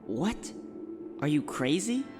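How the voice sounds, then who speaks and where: A man's voice: on the high side, very animated, takes it slowly, music in the background. A man playing an anxious, skeptical teenage boy in a dramatized audiobook, exasperated and doubting.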